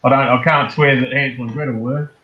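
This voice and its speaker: A man's voice: mid-range voice, steady tone, quick pace, roomy sound. Dry matter-of-fact man, tinny phone-line sound. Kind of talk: casual chat. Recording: tinny phone-like call audio.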